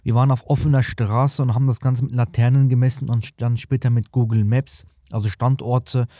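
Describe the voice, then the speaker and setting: A man's voice: medium pitch, level tone, fairly quick, dark tone. A man in his thirties talks through a tech product to camera. He explains it casually and colloquially, like an online reviewer. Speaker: tech reviewer, video host.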